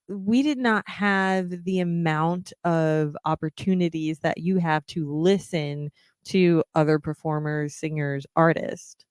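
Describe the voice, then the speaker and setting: Podcast host, female, slow encouraging delivery, smooth voice, dry close mic. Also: fairly low pitch, dry recording. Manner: earnest, encouraging, many ums. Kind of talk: reflective solo podcast talk.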